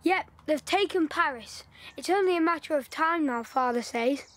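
A woman playing a lively, knowing wartime schoolboy in an audio drama. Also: sits high, moderate pace. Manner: chatty, excitable storytelling.

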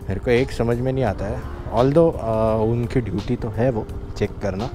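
A man's voice: medium pitch, moderate pace, warm tone. A young man, chatting casually while riding a motorbike outdoors and dropping in English phrases, recorded on a bike-mounted action camera. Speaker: motorcycle vlogger. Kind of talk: casual ride commentary. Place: outdoors on a moving motorbike on highways and town roads. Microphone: helmet or bike-mounted action camera. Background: background music.

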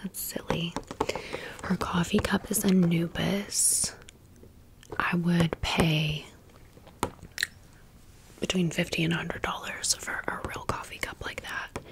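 A woman's voice: lowish voice, fairly even intonation, slow, pauses often, rough recording. An American woman whispering in a chatty, rambling ASMR style, as a video creator chatting through a haul and story. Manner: chatty, rambling, repeats words softly.

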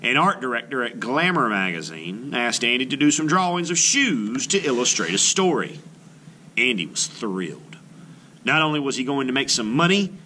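Male art teacher for a kids' read-aloud: mid-range pitch, very animated and emphatic, some noise behind the voice. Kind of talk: children's book read-aloud.

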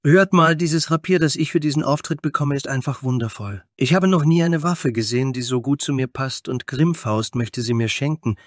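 A man playing a dreamy, theatrical bard, pitched high. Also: moderate pace, hardly any pauses, no room sound, studio quality, close to an everyday voice. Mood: enthusiastic, dreamy. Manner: effusive, airy, theatrical. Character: dreamy, theatrical male tiefling bard, blithely vain.

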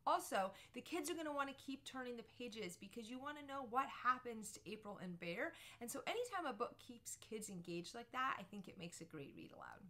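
A woman's voice: a little high, very animated, fairly quick, few pauses, a bit bright. A woman in her thirties presenting a book recommendation to camera with an emphatic, storytelling delivery. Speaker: book review channel host for parents and teachers. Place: small furnished room with bookshelves, indoors.

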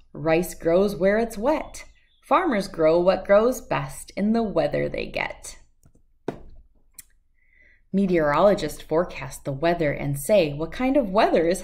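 A middle-aged American woman speaking expressively and clearly as a host of children's storybook read-alouds. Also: medium pitch. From United States, lived in Wisconsin.

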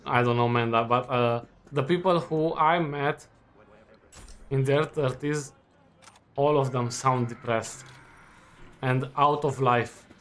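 A man in his twenties chatting casually while playing a game, relaxed and rambling with lots of fillers and restarts. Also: medium pitch, slow pace, long pauses, a little hiss or hum. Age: 20s. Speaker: student gaming while chatting. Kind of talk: casual chat over gameplay.

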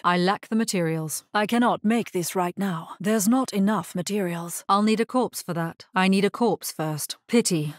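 Woman playing a low-voiced solemn necromancer. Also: no echo, studio quality, lightly acted. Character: solemn, grave female necromancer adventurer. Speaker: video game voice actor. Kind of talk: scripted video game character voice lines.

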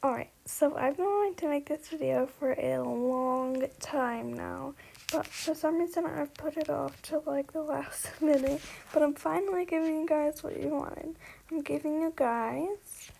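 A woman's voice: high voice, unhurried, gravelly, a little mellow, slight background noise, rough recording. A young woman giving a casual, chatty voice-over tour of a video game house, giggly and apologetic in manner.

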